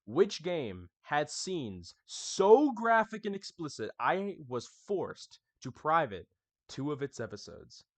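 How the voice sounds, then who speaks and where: A man's voice: on the high side, sing-song, slow, no room sound. A young man hosting his gaming channel, recapping it in a casual, chatty way and talking straight to his viewers. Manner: chatty, addresses viewers as y'all. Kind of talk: casual scripted anniversary recap.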